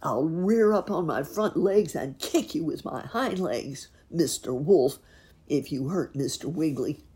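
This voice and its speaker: A woman's voice: deep voice, expressive, dark tone, husky, heavily acted. A woman voicing a kind, brave male horse in a children's story, earnest and emphatic. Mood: defiant. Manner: neighing, earnest, emphatic, drawn-out.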